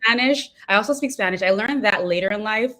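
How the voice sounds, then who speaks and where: A middle-aged woman from California, a guest in a casual interview, talking in a reflective, measured way. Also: mid-range voice, pushed and bright. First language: Igbo.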